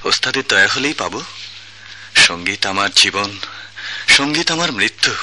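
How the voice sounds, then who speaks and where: A man's voice: lowish voice, lively intonation, moderate pace, sharp tone. A Bangladeshi man playing an earnest, tormented young music disciple, solemn and imploring, in theatrical radio drama.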